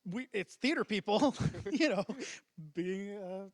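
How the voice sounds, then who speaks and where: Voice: mid-range voice, expressive, measured pace, no room sound. Co-host rambling happily about a big show, dry sound. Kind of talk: casual interview chat.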